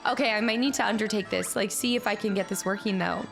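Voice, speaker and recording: Chatty upbeat woman streamer, gravelly edge. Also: medium pitch, fast, over music. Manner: chatty, upbeat, thanks viewers. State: slightly raspy voice. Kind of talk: casual stream chat reading chat. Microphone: streaming mic.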